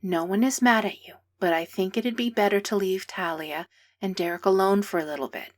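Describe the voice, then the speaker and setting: A woman voicing a gentle, calm aunt who soothes a scared child in soft, half-whispered tones. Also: medium pitch, fairly quick, lightly acted. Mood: soothing. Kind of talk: podfic character reading.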